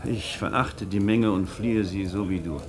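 A shrewd magistrate in a radio drama, speaking in a fairly low voice. He builds his case with theatrical, rhetorical flourishes. His manner is insinuating, mocking and relentless. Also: measured pace, a crowd in the background. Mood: musing, sardonic. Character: shrewd male magistrate methodically cornering a suspect, mocking and relentless. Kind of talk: German radio play acting.

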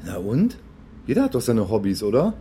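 An actor playing a nervous, defensive call centre worker, hesitant and colloquial, in a German radio crime drama. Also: male voice, lowish voice, huge pitch swings, fairly quick, warm tone, a little hiss or hum.

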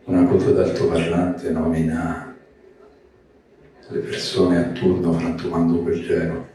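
A man giving a slow literary reading of poetic prose. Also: low-pitched, completely flat delivery, dark tone. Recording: roomy echoing space, distant mic, faint noise.

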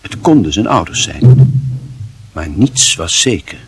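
A man's voice: lowish voice, very animated, measured pace, slightly rough. A man reading literary fiction as a narrator, in a dramatic, scripted storytelling style.

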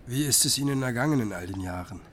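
An actor playing a reflective musicologist who narrates his memories in a measured, understated radio drama style. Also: medium pitch, expressive, slight background noise, close to an everyday voice. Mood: polite, curious.

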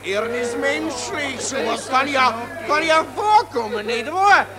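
A callous Austrian soldier rambling through anecdotes in an old radio drama. His high voice swings widely and slowly above a loud crowd. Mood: mock indignant. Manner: rambling, excitable, dialect-heavy. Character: callous, garrulous Austrian army man swapping war anecdotes. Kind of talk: 1940s German radio play acting. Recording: old radio recording amid noisy crowd.

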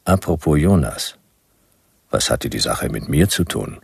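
An actor playing a world-weary private detective, narrating a radio drama in a dry, laconic, sardonic way. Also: male voice, very deep voice, sing-song, a little slow, no echo, full character voice. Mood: dry, wry. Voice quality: gravelly.

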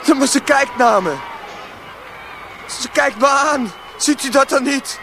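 A man's voice: very high-pitched, measured pace, bright, forceful tone, some chatter behind, slight background noise, heavily acted. A young man playing a distraught teenage boy, pleading desperately in a radio drama. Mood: hysterical denial.

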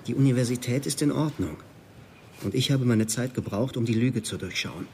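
A man's voice: medium pitch, moderate pace, darkish tone, slight background noise, lightly acted. An actor playing a disillusioned young male student in a radio play, with a dry, weary, wary way of talking. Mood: bitter. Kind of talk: naturalistic radio play acting.

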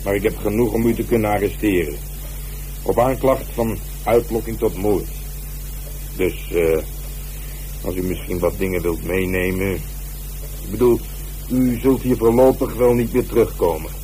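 An actor playing a calm, methodical police inspector, measured and politely firm in a radio drama. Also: male voice, lowish voice, a little hiss or hum, close to an everyday voice, rough recording. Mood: dry, firm. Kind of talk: naturalistic radio drama acting.

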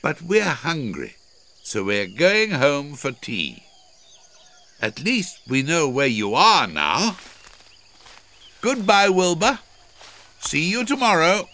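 A man's voice: fairly high pitch, huge pitch swings, extremely slow, pushed and bright, pitched above the performer's own voice, full character voice. A man voicing a cheerful, teasing elephant leader in a children's storybook, with bouncy sing-song calling. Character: Elmer, cheerful teasing elephant leading the herd.

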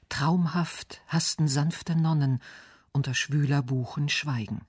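Male reader for literary radio essay, lighter higher pitch, dry studio sound. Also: no room sound. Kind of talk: scripted literary reading and narration.

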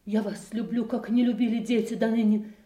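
An actress playing a king's flattering eldest daughter, declaiming effusively in a classical audio drama. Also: on the high side, fairly quick, close to an everyday voice. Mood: flattering, fervent. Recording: echoey, distant from the microphone.

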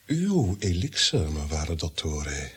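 An actor playing a brooding, guilt-haunted nobleman in a Dutch radio drama, theatrical, moving between hushed awe and agitation. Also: rumbling low, sing-song, slow pace, husky, full character voice. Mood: bitter, melancholy.

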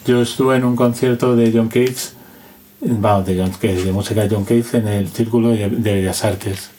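A man's voice: on the low side, steady tone, normal speed, dark tone, a little hiss or hum. A man with an accent from Spain telling anecdotes casually and colloquially, checking in with little tag questions and acting out what people said. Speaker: interview guest, sound and music analyst.

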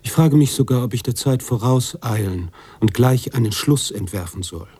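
Very husky, brooding male writer. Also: mid-range voice, lively intonation, no room sound. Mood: doubting, brooding. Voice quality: husky, hushed at times. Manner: deliberate, confessional. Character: brooding, guilt-ridden male writer narrating his own story. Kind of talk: literary radio drama monologue.

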